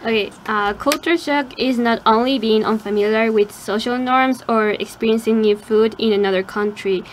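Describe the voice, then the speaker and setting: Higher-voiced woman co-hosting radio. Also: normal speed, slight background noise, poor audio. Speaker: student co-host. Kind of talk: casual radio talk show.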